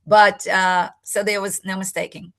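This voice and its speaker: Older woman, gravelly voice, reflective, emotional, telling her story in an interview. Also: mid-range voice, level tone, measured pace, a bit bright. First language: English. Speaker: interview guest.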